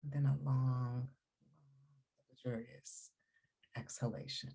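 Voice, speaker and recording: Woman doing guided meditation, really dark mellow voice, kinda breathy, slow and calm with long pauses. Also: rumbling low, low-quality recording.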